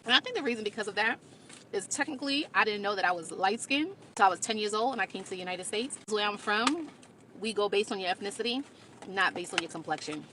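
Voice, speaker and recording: A woman's voice: mid-range voice, expressive, fast, bright, forceful tone. A woman telling personal stories in a candid, blunt and emphatic way. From Caribbean. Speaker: video creator. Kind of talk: candid personal storytelling to camera. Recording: faint background noise.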